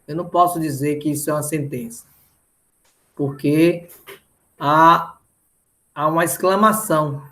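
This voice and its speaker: A man's voice: a little high, fairly even intonation, unhurried, pauses often, pushed and bright. A middle-aged Brazilian man lecturing didactically in an online lesson, often checking in with short tag questions. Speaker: mathematics teacher.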